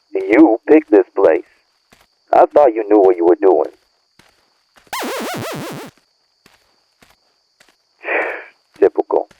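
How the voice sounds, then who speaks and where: A man's voice: deep voice, a little hiss or hum. A man acting a bitter, irritated young friend in an audio roleplay, scolding in profane slang through a phone-like filter. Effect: phone or radio filter. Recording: narrow tinny phone-like band.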